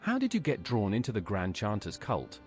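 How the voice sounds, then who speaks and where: A man voicing a helpful adventurer asking questions in video game dialogue, with a husky tone. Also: fairly low pitch, huge pitch swings, normal speed, soft background music. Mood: neutral. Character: player adventurer, helpful investigator.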